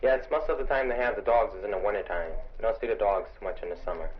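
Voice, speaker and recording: Male sergeant interviewee, lowish voice, plain and factual, muffled vintage recording with some background noise. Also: fast. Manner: plain, matter-of-fact. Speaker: army sergeant, border observer. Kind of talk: interview answers. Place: observation tower. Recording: dull, noisy vintage field recording.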